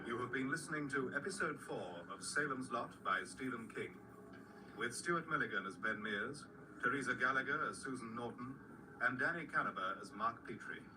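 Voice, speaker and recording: A man's voice: medium pitch, lively intonation, moderate pace. A man reading formal scripted broadcast credits as an announcer, in a distant, noisy recording. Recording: distant, noisy radio playback.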